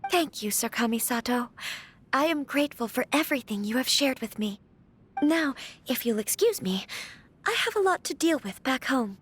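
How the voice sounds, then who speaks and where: A woman's voice: high-pitched, animated, normal speed, fairly bright tone, breathy edge, exaggerated voice. An actress playing a polite young noblewoman and clan heiress in a video game, earnest and formal in speech. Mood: grateful. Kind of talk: scripted video game character dialogue.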